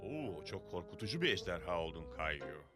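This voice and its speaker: Cartoon father, very low pitch, lively intonation, friendly, playful, encouraging. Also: normal speed, over loud music. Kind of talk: animated children's cartoon dub.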